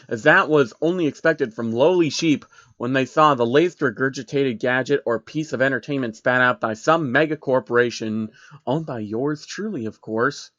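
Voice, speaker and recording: Guy reading fanfic out loud, kinda wry and casual, dry muffled mic. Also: medium pitch, no room sound. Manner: informal, wry, amused asides. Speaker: narrator reading fan fiction aloud. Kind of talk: casual commentary and amateur storytelling.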